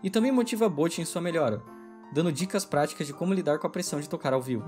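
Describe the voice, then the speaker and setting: Dude reading his video essay script real quick, wry and opinionated, music under it. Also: a little high, lively intonation, a little mellow. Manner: brisk, opinionated, wry delivery.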